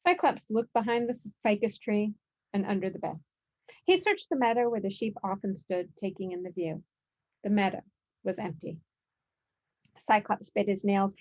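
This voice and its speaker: Female children's author, mid-pitched voice, storybook read-aloud narration. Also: normal speed, darkish tone, highs cut off. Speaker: children's author reading own book.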